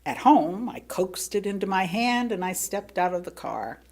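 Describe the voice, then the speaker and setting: An American woman reads a sermon aloud as personal storytelling, speaking expressively with theatrical emphasis and pauses. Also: mid-range voice, very animated, darkish tone. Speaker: lay reader delivering a written sermon.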